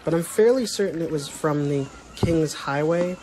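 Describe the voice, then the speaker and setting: A man's voice: fairly high pitch, normal speed, a little mellow, a little hiss or hum, natural voice, low-quality recording. A man voicing a grave mystical seer in a fantasy audio drama, speaking solemnly and courteously. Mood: solemn, mysterious.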